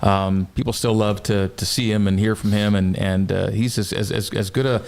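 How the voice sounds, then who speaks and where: A man's voice: fairly low pitch, fairly even intonation, normal speed, gravelly, darkish tone, a little hiss or hum. A man talking sports casually as a studio guest, repeating short phrases for emphasis. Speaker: sports radio play-by-play announcer, studio guest.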